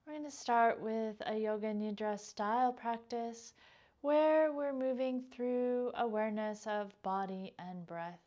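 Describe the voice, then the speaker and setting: A woman leading a guided meditation as a yoga teacher, speaking gently and without hurry, her phrases trailing off softly. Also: on the high side, a little slow, muffled recording.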